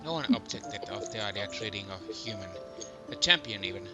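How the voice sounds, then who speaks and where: A man's voice: lowish voice, brisk. A man reading scripted first-person story narration for a game fandub. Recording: music playing behind the voice. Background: music.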